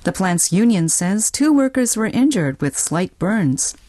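A woman's voice: medium pitch, big pitch swings, a little slow, dark tone, no echo, clean studio sound. A woman reading scripted international news as a radio newsreader.

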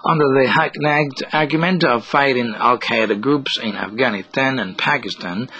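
A man's voice: medium pitch, bright, forceful tone, poor audio. A man reading scripted news commentary as a radio reporter.

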